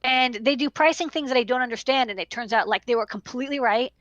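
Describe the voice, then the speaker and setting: A woman's voice: fairly high pitch, fast talker, a bit bright, dry recording, dull audio. A woman in her thirties chatting casually on a livestream through a headset, informal and emphatic, repeating words for stress. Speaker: electronics business owner giving advice. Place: small indoor room.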